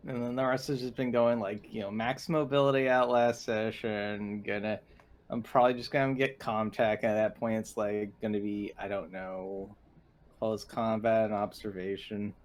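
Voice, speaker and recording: A man's voice: on the low side, steady tone, moderate pace. A man talking casually as a player in a tabletop roleplaying game. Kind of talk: casual chat.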